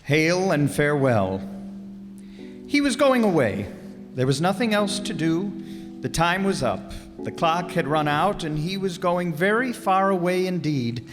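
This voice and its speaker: A man narrates a radio play with dramatic, very lively intonation. Music plays clearly behind him. The voice carries a long hall reverb. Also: on the high side, a little slow. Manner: dramatic storytelling. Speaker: narrator and announcer.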